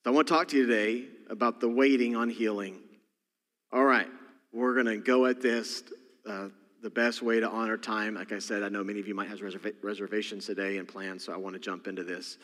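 A man's voice: on the low side, fairly even intonation, a little fast, fairly bright tone. A man with a Texas accent, a senior pastor preaching a sermon with folksy, joking asides. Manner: folksy, jokey storytelling with asides. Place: church sanctuary. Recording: long church hall reverb.

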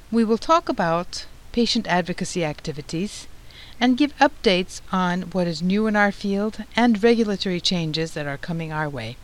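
A middle-aged woman from Turkey hosting a professional society's podcast, reading a prepared script in a measured, formal way. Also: mid-range voice, poor audio. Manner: measured, formal, read-aloud delivery. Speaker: podcast host, society president.

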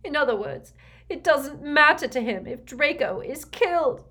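A woman's voice: high-pitched, expressive, a little slow, voice pushed up. A woman voicing a desperate, tearful aristocratic mother in an audiobook, pleading and sobbing. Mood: anguished, urgent. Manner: sobbing, beseeching. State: crying.

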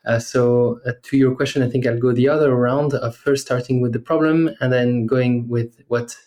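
French-accented man, halting and dark. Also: medium pitch, steady tone. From France. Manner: measured, hesitant with many fillers. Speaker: guest, startup investor. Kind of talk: podcast interview.

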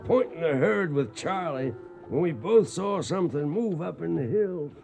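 An actor playing an old trail hand reminiscing in a folksy way, as narrator of a western radio drama. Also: male voice, on the high side, animated, normal speed, vocal fry. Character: old trail hand recalling a cattle drive.

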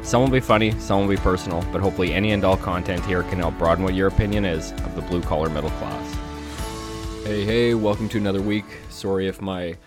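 A man's voice: deep voice, steady tone, quick pace, over music. A man hosting a casual solo podcast, sounding slightly nasal and stuffy from a cold, rambling with many fillers and restarts. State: congested, under the weather. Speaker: podcast host.

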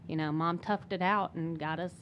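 A young woman with a fairly low voice talks about herself in a casual interview style. There is a faint bed of background noise and ambience behind her. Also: fairly even intonation, normal speed, lo-fi sound. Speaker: podcast interview guest. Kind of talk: casual personal chat.

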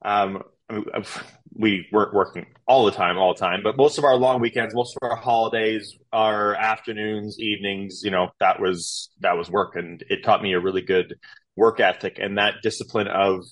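A man in his thirties with a lowish voice talks through his life story as a podcast guest. He rambles and restarts often, filling pauses with "um" and "you know.". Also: steady tone, fairly bright tone. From Canada. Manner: rambling, with frequent fillers and restarts. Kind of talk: casual interview storytelling.

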